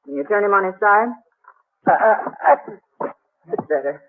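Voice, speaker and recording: A woman's voice: medium pitch, animated, measured pace, lightly acted. An actress playing a tough, suspicious young frontier woman in a radio western, brusque and defensive, with an American Western accent. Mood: brusque, busy. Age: young adult. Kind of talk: 1950s radio western acting. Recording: tinny old radio recording.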